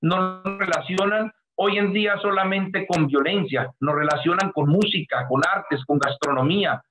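A man's voice: sits high, normal speed, music in the background. A middle-aged Colombian man with a Colombian accent, speaking earnestly and effusively in long flowing sentences on a video call from a room at home. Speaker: artist guest. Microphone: webcam on video call.

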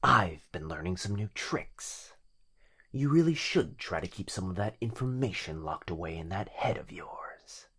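A man playing a sinister, possessive living shadow in a yandere ASMR roleplay, taunting in a breathy half-whisper. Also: low voice, animated, fairly bright tone, exaggerated voice. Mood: smug, menacing. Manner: menacing, drawn-out taunting delivery.

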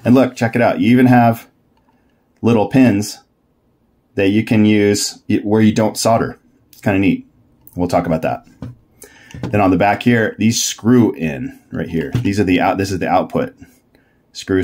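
Easygoing guy w a lowish voice walking thru a diy build, rambles n corrects himself as he goes. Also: a little mellow. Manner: rambling, self-correcting, thinks aloud; easygoing, laughs at own mix-ups. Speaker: DIY electronics builder and presenter. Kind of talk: casual unscripted tutorial walkthrough.

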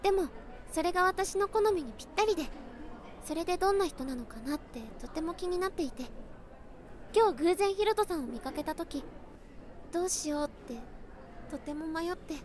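A woman playing a polite, earnest teenage high school girl in an amateur audio drama, speaking in courteous, formal phrasing. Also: lively intonation, moderate pace, long pauses. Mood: shy, candid.

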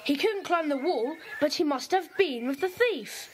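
Chatty boy detective, acted, high and lively, cassette audio drama. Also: moderate pace, slight background noise. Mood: eager. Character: excitable boy club member. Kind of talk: radio play acting.

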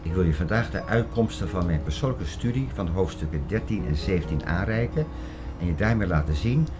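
Over a prominent music bed, an actor plays an earnest male theorist with a very low voice. He lectures insistently, setting out his ideas like a zealous teacher in a radio play. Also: expressive, brisk. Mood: didactic, insistent. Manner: emphatic, didactic, insistent. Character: earnest male theorist expounding an apocalyptic conspiracy. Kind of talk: Dutch audio drama acting, lecturing monologue.

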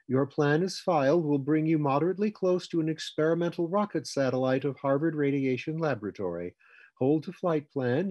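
Female space traffic controller, performed by a male reader: raised pitch, formal, official chant, audiobook sci-fi. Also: warm tone, slightly rough, no room sound, highs cut off.

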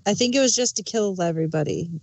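A woman's voice: medium pitch, lively intonation, fast, a little mellow, no room sound. A woman chatting casually as a panelist on a review podcast. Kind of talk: casual chat.

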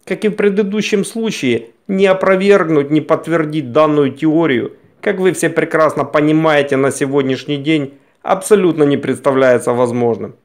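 A man narrating a scripted science documentary in a measured, explanatory manner. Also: fairly high pitch.

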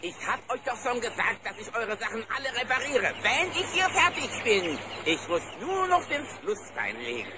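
Cantankerous bear handyman for cartoon radio play: impatient, stubborn, hugely animated delivery. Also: very high-pitched, normal speed, few pauses. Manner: gruff, impatient. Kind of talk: cartoon audio drama acting. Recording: loud background noise.

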